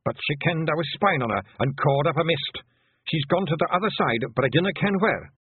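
Nervous, apologetic Scottish pixie flyer, pitched well up, voiced by a man; husky, narrow-band. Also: animated, fairly quick, no room sound. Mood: anxious. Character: nervous small male pixie pilot. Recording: tinny narrow-band audio.

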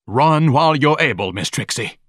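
A man's voice: a little high, big pitch swings, normal speed, fairly bright tone, no room sound, studio quality, voice pushed up, full character voice. A man voicing a pompous, outraged man with bombastic, over-formal speech in an audiobook. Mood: grave urgency. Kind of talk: audiobook character acting.

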